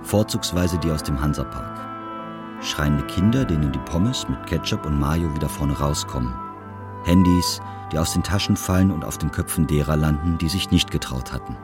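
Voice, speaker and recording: Loud music plays beneath a male narrator with a very low voice. He reads scripted literary prose for an audio drama. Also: normal speed.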